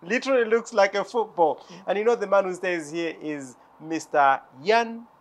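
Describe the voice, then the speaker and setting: A man's voice: high-pitched, normal speed. A man in his forties hosting a TV interview in a living room, playful and teasing, laughing often, on a lapel mic. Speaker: TV show host. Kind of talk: lively TV interview.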